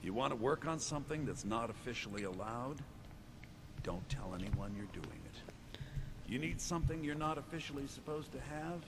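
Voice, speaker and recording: Shady contraband-dealing mage male actor, video game, mid pitch, menacing confidences, clear background noise. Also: expressive, normal speed, natural voice. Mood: sly, threatening. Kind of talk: fantasy video game acting.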